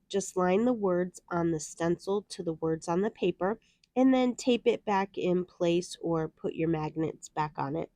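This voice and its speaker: A woman's voice: on the low side, darkish tone, no echo. A woman hosting a card-making tutorial, explaining each step in a cheerful, chatty way, sounding nasal from a head cold. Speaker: card-making channel host. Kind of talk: crafting tutorial narration.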